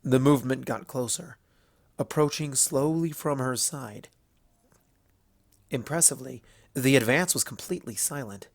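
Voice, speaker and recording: A man reading scripted fan fiction as narrator, telling the story in an expressive way. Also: medium pitch, normal speed, long pauses, dry recording.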